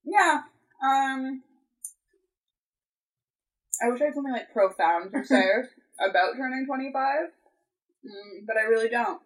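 A woman's voice: high voice, unhurried, pauses often, rough recording. A woman in her twenties co-hosting a podcast in casual chat, rambling with lots of "like" and "um". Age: 20s. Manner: rambling, full of "like" and "um". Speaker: podcast co-host.

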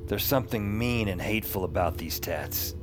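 An actor playing a world-weary detective hero, narrating a hard-boiled supernatural audio drama in a wry, confiding way. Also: male voice, lowish voice, normal speed, lightly acted. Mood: wry, brooding. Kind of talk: noir-style audio drama narration and dialogue. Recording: music under the voice. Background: music.

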